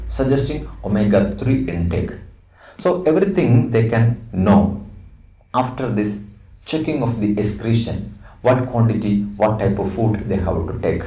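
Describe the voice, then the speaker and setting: Slow, lively Indian man teaching, echoey room. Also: fairly low pitch. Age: middle-aged. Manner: deliberate, announces each speaker before reading lines. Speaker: English teacher. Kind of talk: reading a play aloud and explaining it to students. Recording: roomy echo, distant microphone.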